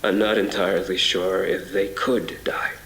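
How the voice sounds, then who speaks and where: An actor playing a haunted man recounting a surreal ordeal in a brooding, literary horror audio drama monologue, full of pauses. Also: sits low, level tone, a bit bright. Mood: brooding, uneasy. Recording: reverberant voice over music.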